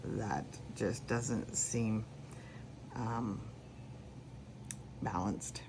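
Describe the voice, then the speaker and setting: A man from Canada reading questions and giving answers slowly and deliberately, adding his personal commentary. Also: fairly low pitch, fairly even intonation, background noise. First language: English. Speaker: spiritual reader.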